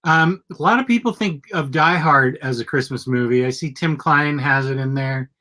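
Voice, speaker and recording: A middle-aged man hosting a live chat show, sick, with a gravelly voice, rambling and correcting himself as he talks. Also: medium pitch, moderate pace, bright, forceful tone. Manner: rambling, self-correcting, chatty. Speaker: podcast host.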